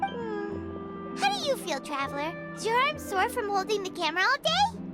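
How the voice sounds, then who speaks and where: A woman voicing a tiny, excitable, childlike fairy companion in an anime-style video game, with a squeaky, whiny, eager delivery. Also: normal speed, over loud music. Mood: playful, curious. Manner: speaks of herself in third person, whiny, eager.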